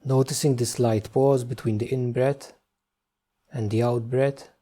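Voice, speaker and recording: A man leading a guided meditation, giving gentle, unhurried instructions with frequent pauses. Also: mid-range voice, steady tone.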